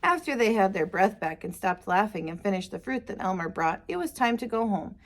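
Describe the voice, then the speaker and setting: A woman teacher reading a picture book aloud to children in an expressive storytelling style. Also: mid-range voice, a little fast. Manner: expressive, addresses young listeners. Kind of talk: animated read-aloud narration of a picture book.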